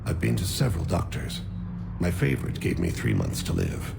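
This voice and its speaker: An actor playing a serene, courteous alien man near death, gravelly and formally measured, in a video game. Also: low-pitched, wildly swooping pitch, heavy background noise, heavily acted. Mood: serene, resigned. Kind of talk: video game dramatic acting.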